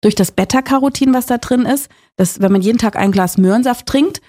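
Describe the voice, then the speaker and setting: A woman's voice: fairly high pitch, dark tone. Breezy woman doctor explaining nutrition. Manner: brisk, informal, explains with jokes. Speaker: nutrition doctor and author, guest. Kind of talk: explanatory interview talk.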